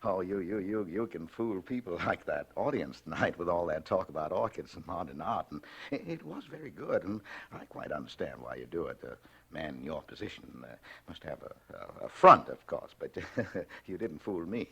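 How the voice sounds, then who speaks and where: An actor playing a meek, shy, middle-aged husband secretly plotting murder in an old radio drama, with a hesitant, apologetic manner. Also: male voice, low voice, animated, moderate pace, pushed and bright, husky, exaggerated voice. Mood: earnest, timid. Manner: mild, hesitant, stammering, apologetic. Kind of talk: 1940s radio drama acting and narration. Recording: vintage radio recording with faint music.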